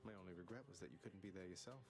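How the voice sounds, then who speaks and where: An actor playing a wry, cocky young underworld prince in a video game, with dry, sardonic delivery. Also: male voice, deep voice, racing. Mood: wry, teasing. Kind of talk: scripted video game dialogue. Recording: quiet game audio under music.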